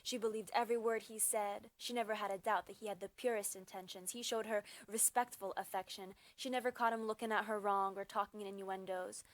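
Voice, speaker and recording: A woman performs a slam poem in a mid-pitched voice. She delivers it in short, measured phrases with dramatic emphasis, as a spoken-word poet would. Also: steady tone, no room sound. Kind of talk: slam poetry recitation.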